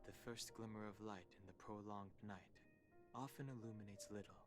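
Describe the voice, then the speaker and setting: A man playing a solemn, dignified video game character with a formal, poetic, measured delivery. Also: fairly low pitch, lightly acted. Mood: solemn, reflective. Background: game music.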